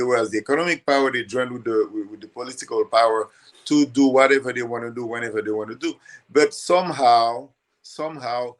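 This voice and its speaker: An older man from Haiti with a Haitian accent, talking conversationally and emphatically, repeating phrases for effect. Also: medium pitch. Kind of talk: conversational storytelling.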